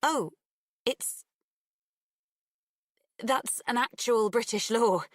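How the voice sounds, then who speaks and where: A woman voicing a sharp but awkward teenage girl in an audiobook, earnest, nervous and hesitant. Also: on the high side, extremely slow, no echo, studio quality, pitched above the performer's own voice. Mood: flustered.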